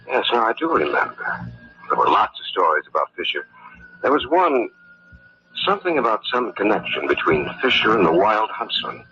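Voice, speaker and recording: An actor playing a confident, dismissive sceptic in an old-time radio drama. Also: male voice, lowish voice, very animated, normal speed, bright, forceful tone. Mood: recollecting. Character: confident, dismissive male sceptic reassuring his darling. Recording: muffled, noisy old radio recording.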